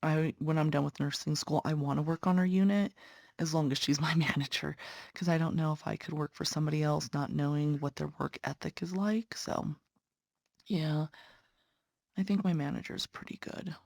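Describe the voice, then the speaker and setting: A woman's voice: sits low, fairly even intonation, normal speed, creaky, darkish tone, no room sound, clean studio sound. A young woman, a patient care tech and nursing student, chatting earnestly to viewers while stitching, sounding congested. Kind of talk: casual chat while stitching.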